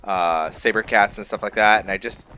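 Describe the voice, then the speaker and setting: A man's voice: fairly low pitch, normal speed, pushed and bright, dull audio, slight background noise. A man giving casual, rambling gameplay commentary, chatting informally to his viewers as the host. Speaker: gaming video host.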